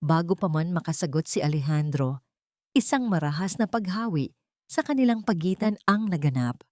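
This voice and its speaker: A woman's voice: on the low side, animated, moderate pace, darkish tone, no room sound, studio quality. A woman narrator reading a scripted audiobook story in a dramatic style.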